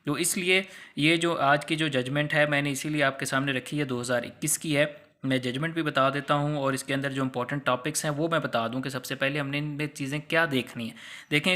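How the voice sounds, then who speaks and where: A man's voice: medium pitch, sharp tone. A man with a Pakistani accent explaining points didactically as a law lecturer, often rephrasing them for exam students.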